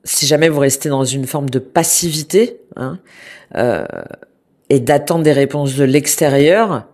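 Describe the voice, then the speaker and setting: A woman giving a spoken tarot reading to viewers, congested from a cold, hesitant with many fillers and restarts but gentle and reassuring. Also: rumbling low, unhurried. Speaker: tarot reader.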